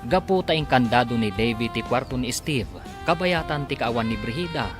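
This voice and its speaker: A man's voice: mid-range voice, expressive, moderate pace. A man narrating and announcing a radio drama serial in a dramatic scripted style. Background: music.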